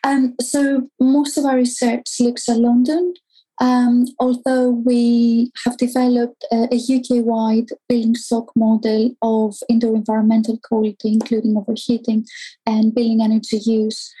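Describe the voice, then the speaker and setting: A woman from Greece with a Greek accent, an academic guest explaining things thoughtfully and carefully, with hesitant pauses. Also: a little high, completely flat delivery, dark tone, low-quality recording. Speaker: academic researcher, interview guest.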